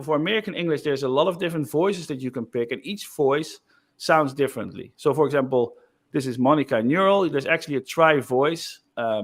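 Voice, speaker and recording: Chatty man demoing software. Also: on the high side. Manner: loose and chatty, frequent "like" and "uh" fillers. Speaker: software developer presenting. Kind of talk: casual screen-share product demo.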